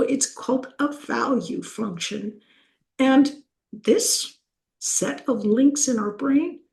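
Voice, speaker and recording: Older woman, university lecture, gravelly, slow-paced, conversational, echoey hall. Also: fairly high pitch, a little mellow. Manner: conversational, self-correcting, slows down to stress key terms. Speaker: professor giving a talk. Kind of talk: explanatory lecture with slides.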